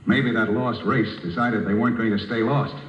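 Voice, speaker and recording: An actor playing a curt, impatient, commanding spaceship captain in a 1950s radio drama. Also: male voice, on the low side, fairly even intonation, moderate pace, natural voice. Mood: grim, suspicious. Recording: muffled, hissy, echoey 1950 radio broadcast.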